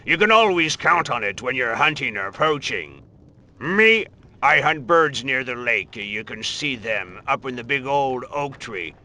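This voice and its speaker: A grave Transylvanian village hunter. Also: male voice, mid-range voice, very animated, sharp tone, heavily acted. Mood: plain. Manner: grave, warning, slow and emphatic. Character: superstitious Transylvanian village hunter, gruff and fearful. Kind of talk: dubbed game cutscene acting. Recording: game audio with music behind.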